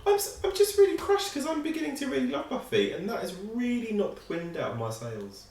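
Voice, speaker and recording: Animated man in an echoey room. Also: very high voice, a little fast, background noise. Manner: animated, frustrated critic. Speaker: reaction show co-host. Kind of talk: casual chat reviewing an episode. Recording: echoey room, distant mic.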